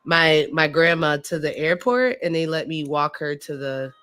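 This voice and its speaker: A woman's voice: sits low, moderate pace, fairly bright tone, clean tone. Casual, slangy woman chatting on a stream. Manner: informal, slangy. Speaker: streamer co-hosting a Lego build. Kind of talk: casual chat while building.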